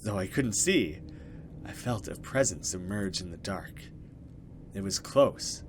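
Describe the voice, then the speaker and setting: A man's voice: low voice, animated, a little slow, a little hiss or hum. A man hosting a let's play, reading horror narration dramatically and adding casual commentary.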